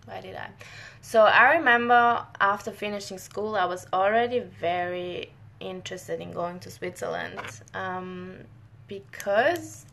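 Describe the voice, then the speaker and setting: A young woman from northern Italy, a scientist and native Italian speaker, hosts a relaxed live Q&A from home, restarting sentences and laughing softly. Her voice has a gravelly edge, and a faint hiss sits under the computer-mic recording. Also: mid-range voice, measured pace. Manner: friendly, chatty, many restarts and short laughs. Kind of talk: casual live-stream chat.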